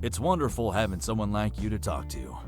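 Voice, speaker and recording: A man voicing a big, hearty butcher and diner cook in a dating game, gruff but warm. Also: fairly low pitch, big pitch swings, fairly quick, faint music behind. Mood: warm, flattered. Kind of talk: dating simulator voice acting.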